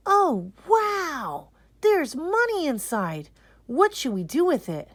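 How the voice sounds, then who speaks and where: A woman voicing excited, curious young children in a picture book read-aloud, bubbly and exclamatory. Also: high voice, takes it slowly, no echo, pitched above the performer's own voice, heavily acted. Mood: amazed.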